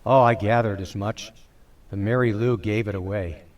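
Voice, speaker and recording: A man's voice: on the low side, steady tone, normal speed, lightly acted. A man playing a genial, bemused father in an old-time radio family comedy.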